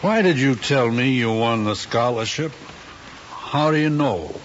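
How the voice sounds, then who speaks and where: An actor playing an ambitious, anxious middle-aged father in an old screen drama, speaking in a halting, earnest way. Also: medium pitch, close to an everyday voice. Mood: stern, probing. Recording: dull old film soundtrack, faint hiss.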